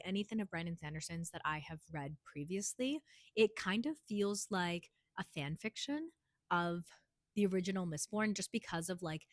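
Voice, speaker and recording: A woman with a hoarse voice from a cold, giving a chatty, rambling book review with lots of filler words. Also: fairly low pitch, dry recording, studio quality. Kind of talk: casual book review vlog.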